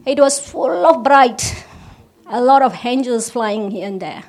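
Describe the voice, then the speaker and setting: A woman from Malaysia, giving a personal testimony at a church service in halting English with restarts. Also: fairly high pitch, darkish tone. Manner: halting, with restarts and simple non-native grammar.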